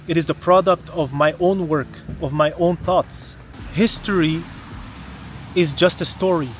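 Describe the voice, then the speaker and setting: A man from Canada speaks casually to his viewers in a slightly high voice. He explains things earnestly and with emphasis, asking rhetorical questions as he goes. Faint outdoor city noise and vehicles can be heard behind him. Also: darkish tone. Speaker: vlogger, history content creator. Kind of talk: casual explanatory vlog to camera.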